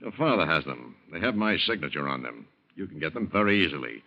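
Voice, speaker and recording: A menacing American blackmailer from old-time radio. His delivery swings widely in pitch as he lays down domineering orders. The recording is dull and aged. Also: very animated. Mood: menacing, commanding. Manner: domineering, deliberate threats. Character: coldly menacing male blackmailer giving orders.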